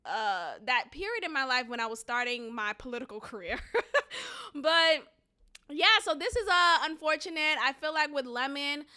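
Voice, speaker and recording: A woman's voice: high voice, normal speed, bright, forceful tone. A young woman with a Boston accent hosting a solo opinion podcast, talking in an opinionated, sarcastic and emphatic way. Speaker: podcast host.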